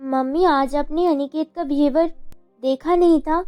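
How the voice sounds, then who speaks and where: A woman playing an emotional, anxious young college girl in an animated love story, speaking in an expressive, girlish way. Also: high voice, moderate pace, dry recording, soft background music, lightly acted. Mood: worried. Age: young adult. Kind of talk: dramatized Hindi love story acting.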